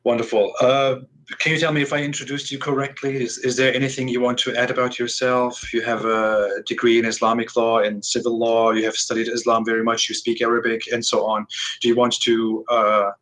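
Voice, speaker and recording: A man's voice: medium pitch, flat delivery, a little fast, a bit bright. A man hosting an audio call interview, speaking hesitantly with frequent restarts. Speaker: host.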